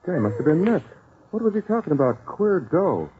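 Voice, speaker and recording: An actor playing a blunt, impatient police sergeant with a brusque, clipped delivery in a 1940s radio crime drama. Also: male voice, a little high, very animated, fairly quick, warm tone. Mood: puzzled, brisk. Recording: tinny, noisy 1940s radio recording.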